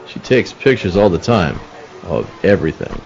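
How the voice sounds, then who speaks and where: A man's voice: sits low, big pitch swings, normal speed, vocal fry, darkish tone, lo-fi sound, full character voice. A man playing a businesslike police detective in a radio play, matter-of-fact and firm. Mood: patient, dry. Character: level-headed, businesslike male police detective. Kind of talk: old-time radio play acting.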